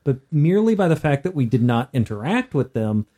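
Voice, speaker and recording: A man's voice: mid-range voice, big pitch swings, fairly quick, warm tone. A man co-hosting a casual, conversational discussion about tabletop games. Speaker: podcast co-host and tabletop gamer.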